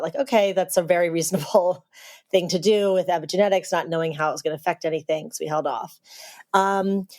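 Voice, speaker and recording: A woman in her thirties, originally from Texas, talks as a guest on a podcast. Her voice sits a little low, and her talk is open and chatty. Laughter slips in easily as she tells her story. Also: a little mellow. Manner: candid, chatty, laughs easily mid-sentence. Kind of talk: casual conversation, telling her birth story.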